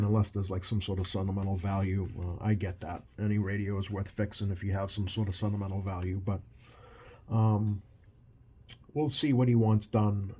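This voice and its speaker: A man with a fairly low, very mellow voice talks casually as he works. He speaks in a halting, think-aloud way, like a repair technician explaining each step from the workbench. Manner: halting, thinking aloud while working with hands. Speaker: radio repair technician, video host. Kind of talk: casual hands-on repair commentary. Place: small indoor workshop at an electronics bench.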